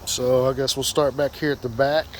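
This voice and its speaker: A man's voice: medium pitch, little pitch movement, normal speed. Guy w a sinus cold, folksy and rambly, filming himself outdoors, bit of background noise. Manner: rambling, folksy, many fillers. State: congested from a sinus infection. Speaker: fishing vlogger. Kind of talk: casual gear walkthrough.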